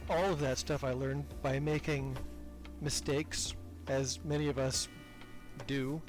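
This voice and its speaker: A man's voice: mid-range voice, flat delivery, slow, faint music behind. A man being interviewed as a podcast creator, talking casually and reflectively in a self-deprecating, hesitant way, repeating words as he thinks.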